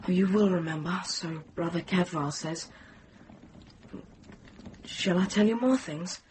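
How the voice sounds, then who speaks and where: A performer in a radio drama playing an earnest, frightened young boy, gentle and pleading. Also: medium pitch, measured pace, a little hiss or hum, lightly acted. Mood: gentle, coaxing.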